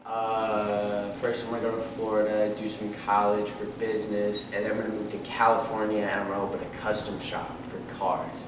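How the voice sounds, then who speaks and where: A young man from the United States, a high school senior, talking informally in an interview. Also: monotone, a little fast, hardly any pauses. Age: young adult. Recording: roomy, distant mic, music behind.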